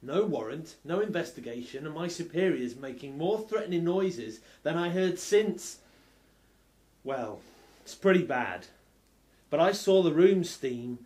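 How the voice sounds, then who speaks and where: An actor playing a gruff, impatient male police inspector in an audio drama. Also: on the high side, darkish tone.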